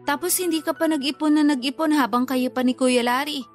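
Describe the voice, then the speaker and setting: Actress as high-voiced, scolding Filipino younger sister. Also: female voice, fairly even intonation, fairly quick, faint music behind, lightly acted. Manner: frank, reproachful. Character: blunt, scolding younger sister, reproachful but caring. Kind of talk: Filipino radio drama acting.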